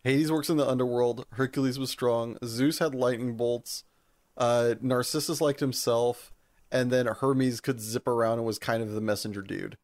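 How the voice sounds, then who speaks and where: A man with a mid-pitched voice full of vocal fry, sounding close and dry. He chats as a podcast co-host, informal and wry, in loose conversational banter. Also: fairly even intonation, normal speed, a little mellow, dry recording.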